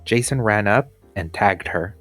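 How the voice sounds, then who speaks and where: A man's voice: lowish voice, measured pace, a bit bright, slightly rough, dry recording. A man reading a children's story aloud as a teacher would, with music behind him.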